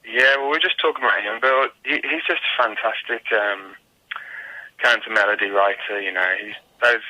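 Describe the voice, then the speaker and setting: A man with a slightly low but very bright, forward voice, speaking as a musician being interviewed. He is casual and reflective, often pausing to hunt for the right words. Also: lo-fi sound. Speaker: guest musician, singer-songwriter.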